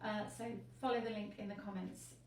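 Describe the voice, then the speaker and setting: A woman vicar hosting an interview, chatty and lively, quick to laugh. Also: medium pitch, reverberant, far from the mic, a little hiss or hum. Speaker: vicar and host.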